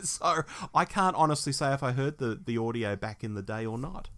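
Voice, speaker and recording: A man's voice: mid-range voice, lively intonation, a little fast, fairly bright tone. A middle-aged Australian man co-hosting a podcast in a casual, conversational review style. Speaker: podcast co-host.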